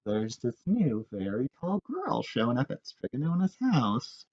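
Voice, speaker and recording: A man's voice: mid-range voice, a little slow. A man reading a picture book aloud expressively, adding asides and questions for his listeners. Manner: animated, prompts listeners with questions. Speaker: reader of a children's picture book, teacher. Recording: roomy, slightly dull sound.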